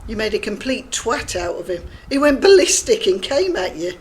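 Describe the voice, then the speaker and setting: A woman's voice: medium pitch, sing-song, moderate pace, slight background noise, natural voice. A woman playing Gabby, a cheeky, provocative middle-aged friend who mocks and prods with blunt humour in an audio drama. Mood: mocking. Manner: teasing, blunt and mocking.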